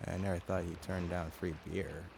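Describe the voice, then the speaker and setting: A man's voice: low voice, little pitch movement, normal speed, a little mellow. An actor playing a subdued, guarded teenage boy in casual audio drama dialogue. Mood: subdued, reflective. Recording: clear background ambience noise.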